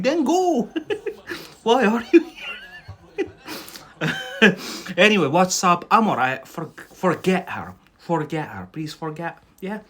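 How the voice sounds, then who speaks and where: A man's voice: high-pitched, fairly bright tone. A young man, not a native English speaker, teaching English on a live stream, chatting casually with viewers, often laughing and tagging on "yeah?".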